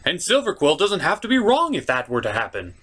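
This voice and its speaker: Animated man hosting an irreverent cartoon review. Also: on the high side, fairly quick, breathy edge. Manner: irreverent, sarcastic, swearing. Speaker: host of a cartoon review show. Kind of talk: casual comedic review narration.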